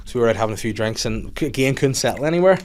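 A man's voice: medium pitch, expressive, racing. Young northern irish guy on a podcast, swears a lot, laughs, telling stories in a normal mid voice. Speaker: podcast co-host. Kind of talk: casual chat.

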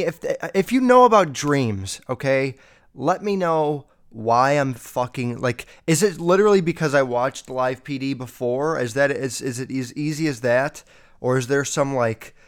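Young guy rambling on his podcast, swears constantly, kinda nasal, smooth clean voice. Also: medium pitch. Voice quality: slightly nasal. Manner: rambling, heavy swearing, many fillers. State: feeling sick. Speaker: podcast host. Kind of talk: casual solo podcast chat. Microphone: podcast microphone.

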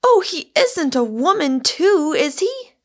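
A woman doing a high, startled young woman. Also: unhurried.

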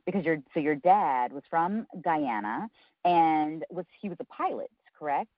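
A woman's voice: low voice, expressive, measured pace, dry recording. A woman hosting a podcast interview, asking long, winding questions with plenty of ums. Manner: engaged, asks long rambling questions. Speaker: podcast host. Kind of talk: interviewing a guest. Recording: dull, muffled podcast audio.

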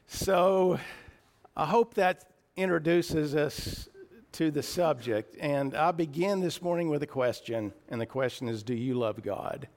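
A man with a raspy voice preaching a sermon in church, earnest and a little emotional, often clearing his throat. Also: on the high side, takes it slowly, darkish tone.

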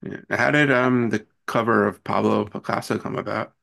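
A man's voice: on the low side, steady tone, normal speed, creaky, a bit bright. A man hosting a casual interview, asking questions and giving short responses. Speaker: interviewer, host.

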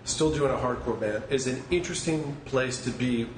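A man's voice: medium pitch, moderate pace. Nasal man in his forties with a cold. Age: 40s. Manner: blunt, emphatic, swears freely. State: has a cold. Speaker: singer of a hardcore band, podcast guest. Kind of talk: casual podcast conversation. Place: upstairs room of a restaurant. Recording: echoey room with faint background noise.

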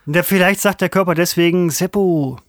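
A man's voice: high voice, moderate pace, dry recording, studio quality. A man co-hosting a podcast, teasing and placating his partner while talking over him. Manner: teasing and placating, talks over the other host. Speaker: podcast co-host. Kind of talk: casual podcast banter.

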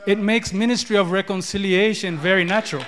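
A man's voice: high-pitched, steady tone, a little fast, crowd noise, background noise. A man from Ethiopia preaching a sermon, repeating words and building in rising declarations. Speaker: pastor. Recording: long hall reverb.

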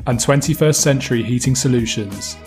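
A man reading a scripted preview for an upcoming podcast episode in a brisk, teasing way. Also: medium pitch, darkish tone. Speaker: money expert, trailer voice-over. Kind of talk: scripted promo read. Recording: voice-over with faint music.

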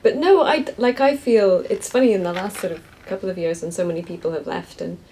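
A woman's voice: mid-range voice, fast talker, dark tone, slight background noise, rough recording. A woman novelist with a Cork accent, talking hesitantly and self-deprecatingly at a live bookshop interview. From East Cork, Ireland. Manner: hesitant, self-deprecating, many restarts and laughs. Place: bookshop event.

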